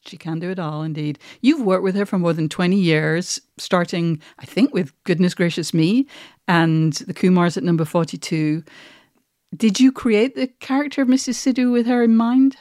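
A woman hosting a conversational podcast interview, speaking in a measured, appreciative way. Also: fairly low pitch, a little mellow, no echo, studio quality.

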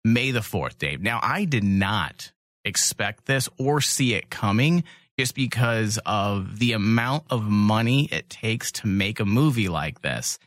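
A man's voice: on the low side, lively intonation, a little slow, fairly bright tone, dry recording. Casual, emphatic male podcast co-host, dry sound. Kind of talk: casual fan podcast chat.

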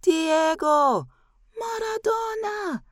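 A woman's voice: very high voice, expressive, extremely slow, no echo, clean studio sound, pitched above the performer's own voice, exaggerated voice. A woman voicing a nostalgic old man, a boastful former stadium announcer, theatrically reminiscing in a storybook reading. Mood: exuberant shouting. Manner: theatrical, reminiscing, calling out names.

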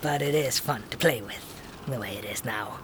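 A man's voice: mid-range voice, moderate pace, noisy background, natural voice. A man acting a sly, proud, taunting horned forest spirit in a fantasy audio drama.

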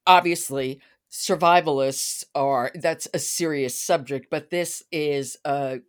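A woman's voice: sits low, slow. A woman hosting a podcast in a conversational interview style. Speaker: podcast host.

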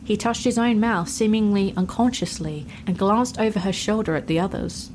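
A woman's voice: mid-range voice, normal speed, a little mellow, a little hiss or hum, low-quality recording. A woman narrator reading a scripted fantasy story.

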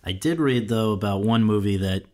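A man's voice: fairly low pitch, normal speed, darkish tone. A man hosting an interview podcast, speaking in prepared introductions and questions.

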